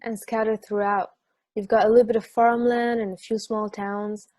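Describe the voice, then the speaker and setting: A man's voice: sits high, flat delivery, moderate pace, a little mellow, no echo, close to an everyday voice. A man acting as an easygoing football fan who relishes weirdness, in a conversational script reading. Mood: enthusiastic, wry. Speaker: amateur actor in a remote table read.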